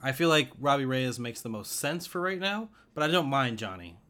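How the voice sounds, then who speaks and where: A man's voice: mid-range voice, expressive, moderate pace, pushed and bright. A man chatting casually as the co-host of a podcast. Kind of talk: casual chat about comic book films.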